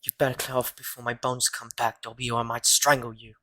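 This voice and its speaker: A woman's voice: very deep voice, little pitch movement, fast, bright, forceful tone, exaggerated voice. A woman playing an indignant, direct schoolboy wizard in an audio drama. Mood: irritated, wry. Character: twelve-year-old schoolboy wizard, indignant. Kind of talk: fan audio drama acting.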